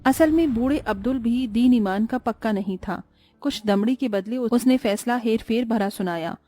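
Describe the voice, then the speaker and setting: A woman narrating a scripted cartoon story in a calm storytelling manner. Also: a little high, moderate pace, no echo, soft background music.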